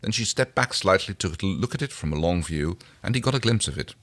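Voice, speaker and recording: A man's voice: low-pitched, animated, brisk, fairly bright tone. A man co-hosting a podcast, reading fan fiction aloud and talking casually. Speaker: podcast co-host and reader.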